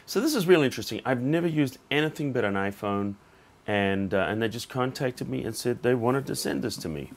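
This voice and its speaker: A man speaks as the host of a casual tech unboxing vlog. His voice is a little hoarse and sits at a middling pitch, but it moves up and down with a lot of variety. He talks informally, as if thinking aloud to his viewers. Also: animated, fairly quick, slight background noise. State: slightly hoarse. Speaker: tech reviewer and channel host.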